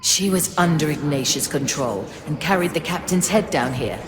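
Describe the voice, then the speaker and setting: A woman's voice: deep voice, expressive, moderate pace. A woman voicing an earnest, troubled spirit of a ghost ship in a video game, with a solemn manner. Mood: urgent. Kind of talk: dramatic video game voice acting. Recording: long reverb, music behind.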